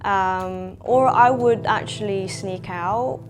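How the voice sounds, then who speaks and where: A woman in her twenties from Hong Kong, talking in a sit-down interview as a makeup artist, pausing often with "um" and laughing between thoughts. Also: medium pitch. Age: 20s. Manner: reflective, frequent "um" fillers, laughs between thoughts. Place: bright room indoors, seated in armchair. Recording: music playing clearly behind.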